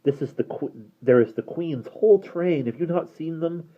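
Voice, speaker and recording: A man's voice: fairly high pitch, moderate pace, voice pushed up, lightly acted. A man voicing a frightened, desperate young woman who pleads urgently. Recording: dull lo-fi recording.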